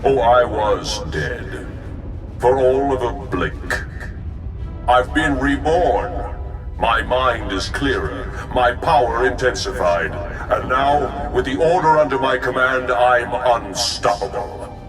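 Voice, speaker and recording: An actor playing a reborn dark zealot leader in a video game, coldly megalomaniacal, with a grand, deliberate, menacing delivery. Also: male voice, medium pitch. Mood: grandiose menace. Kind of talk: video game cutscene acting. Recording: distant, echoing voice over loud music.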